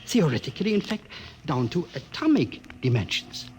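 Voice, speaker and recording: A gentle elderly physicist in a 1950s radio play. He explains in formal, careful sentences. His pitch swings widely, with a light hiss behind him. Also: big pitch swings, normal speed. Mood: earnest. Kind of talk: 1950s radio drama acting.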